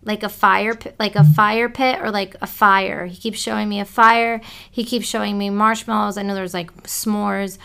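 Woman psychic medium, gravelly and bright, halting relayed messages. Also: medium pitch, fairly even intonation. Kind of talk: psychic reading.

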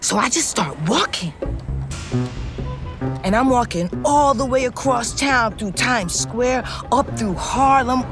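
Streetwise New female ex-con, middle-aged, intense, profane, confessional audio drama, music behind. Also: a little high. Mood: agitated. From New York City, United States. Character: hardened, streetwise female ex-convict telling her story.

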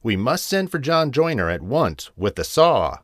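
A bossy lady cat neighbour from a children's storybook, put on by a man, with a raised pitch. She is brisk, critical and no-nonsense, swinging widely in tune. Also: sing-song, no echo, studio quality. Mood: urgent.

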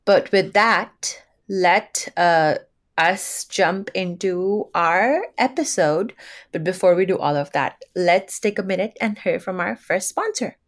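A woman's voice: on the low side, takes it slowly. A woman whose first language is Urdu, co-hosting a podcast and chatting enthusiastically about books, often restarting her sentences. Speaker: podcast co-host. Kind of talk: casual book chat.

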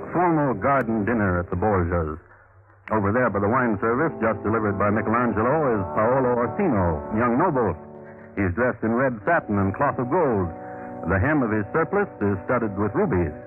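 A man playing a dry, droll crime connoisseur, narrating a 1950s radio drama in measured deadpan. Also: medium pitch, lightly acted. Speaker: host and narrator. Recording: muffled vintage radio recording, music behind.

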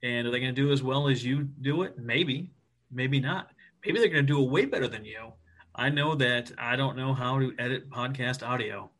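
A man from the United States, a Navy officer guesting on a podcast, talking in an animated, emphatic and self-deprecating way. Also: medium pitch, normal speed. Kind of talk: casual podcast interview.

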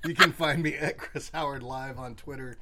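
A woman's voice: a bass voice, fairly even intonation, normal speed, very noisy. A woman co-hosting a casual TV after-show who laughs a lot, teases, and imitates the others. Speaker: co-host.

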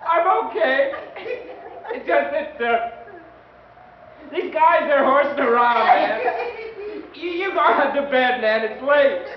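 Very high-voiced nervous teenage boy. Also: a loud crowd. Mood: uneasy. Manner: stammering, halting. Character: nervous, evasive teenage grandson hiding trouble. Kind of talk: old-time radio drama acting. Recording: dull, noisy, echoey old radio recording, distant.

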